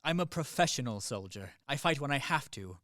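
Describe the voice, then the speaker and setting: An unflappable professional soldier of radio drama, acted by a man. His mid-pitched voice is practical, politely dry and placating, recorded without any room sound. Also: expressive, fairly quick, pushed and bright, dry recording. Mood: cool, practical. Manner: matter-of-fact, placating. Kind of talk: stage-style radio play acting.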